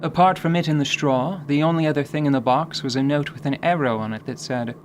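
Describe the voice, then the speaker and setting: A man's voice: a little high, brisk, a little hiss or hum. A man hosting a podcast, giving semi-scripted tabletop gaming commentary in an articulate, wordy way with wry, playful asides. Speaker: podcast host.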